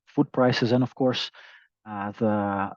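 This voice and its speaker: A man speaking as a company executive, presenting on an investor conference call in a halting, hesitant way with frequent pauses. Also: on the low side, fairly even intonation, no room sound. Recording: dull, lo-fi conference call audio.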